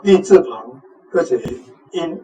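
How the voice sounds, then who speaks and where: A Taiwanese man with a fairly high voice gives an informal language lesson. He explains in a halting way. The recording has noticeable background noise. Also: expressive, dark tone, poor audio. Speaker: teacher giving on-site guidance. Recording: clear background noise, room ambience.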